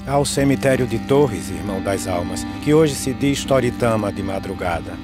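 A man playing a grave, matter-of-fact peasant pallbearer, reciting rhythmic verse in an animated film. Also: lowish voice, normal speed, close to an everyday voice. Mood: grave, resigned. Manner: solemn, rhythmic, dropping on refrains. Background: music.

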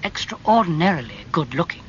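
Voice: mid-range voice, animated, normal speed, highs cut off, a little hiss or hum, close to an everyday voice. A reader voicing a witty, cynical aristocratic lord who drawls his remarks knowingly. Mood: wry. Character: witty, cynical English lord, amused and provocative.

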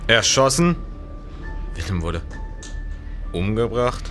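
A man's voice: lowish voice, big pitch swings, takes it slowly, over music. A man playing a big, nervous, shaken traveller in a period crime radio play, speaking in agitated, formal questions. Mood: shocked, agitated. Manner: agitated, questioning, formal old-fashioned speech. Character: big, nervous, shaken male traveller looking for his friend. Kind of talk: German period crime audio drama.

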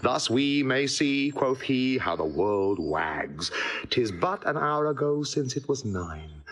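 A man's voice: medium pitch, very animated, takes it slowly. An actor playing a witty, melancholy male courtier who relishes his words in a mocking, philosophical tone. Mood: wry. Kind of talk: classical verse drama.

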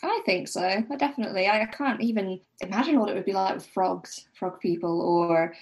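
A woman talks casually about books as a podcast guest. Her voice is distinctly creaky and sits at an unremarkable middle pitch. She is tentative and agreeable, quick to chuckle at her own remarks. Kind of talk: casual book discussion.

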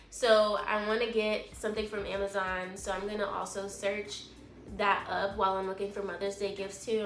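A woman's voice: medium pitch, a little hiss or hum. A woman in her twenties from California, chatting casually through her day in a vlog, rambling with lots of fillers, filmed on a phone at arm's length in a small apartment. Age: 20s. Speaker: lifestyle vlogger. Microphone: phone camera held at arm's length.

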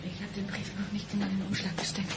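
An actress playing a frightened, despairing wife who awaits her murder, speaking haltingly in a German radio crime drama. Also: medium pitch, monotone, very noisy, lightly acted. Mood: desperate. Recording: 1990s radio play with music behind.

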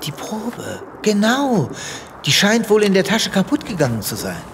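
Pompous, animated space veterinarian. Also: male voice, sits high, a little slow, a little hiss or hum, heavily acted. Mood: sheepish. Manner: theatrical, pompous. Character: male space veterinarian, earnest, self-important, devoted to animals. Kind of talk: audio drama acting.

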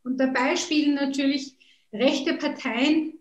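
A woman's voice: high-pitched, fairly even intonation, unhurried, reverberant, rough recording. A woman in her thirties whose first language is Kurdish, speaking earnestly on a video call with frequent hesitations. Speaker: politician, interview guest. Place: room at home.

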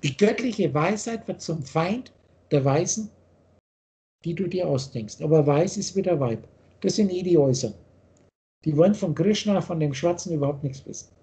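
A man's voice: a little high, expressive, moderate pace, warm tone. An older man on a video call from a home office, lecturing insistently on spiritual ideas and gesturing as he talks. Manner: insistent, gestures a lot while holding forth. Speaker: spiritual teacher. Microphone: video call webcam.

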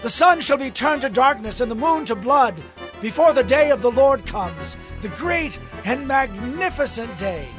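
An actor playing Peter, a bold, fervent apostle addressing a crowd in a declamatory raised voice in a scripture audio drama. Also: male voice, very high-pitched, big pitch swings, moderate pace, over music. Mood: fervent, proclaiming.

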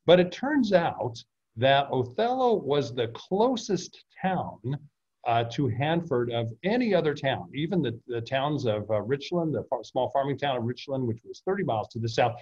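Husky-voiced man explaining over a video call. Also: medium pitch, lively intonation, moderate pace, a little mellow. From United States. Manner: explanatory, many fillers and restarts. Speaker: author giving a book talk. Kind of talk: illustrated slide presentation. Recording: dull lo-fi video call audio.

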